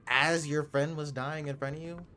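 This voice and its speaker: A man's voice: a little high, steady tone, moderate pace, bright, forceful tone, soft background music. A young man giving casual gameplay commentary, slangy and reactive with mock outrage. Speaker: gaming video creator.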